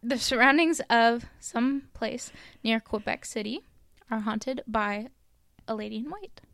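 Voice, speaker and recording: A woman's voice: on the high side, a little slow, gravelly, a bit bright, no room sound, studio quality. A woman from Canada co-hosting a podcast, casually telling old legends with lots of ums and likes. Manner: chatty, lots of likes and ums, laughs at own stumbles. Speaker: podcast co-host.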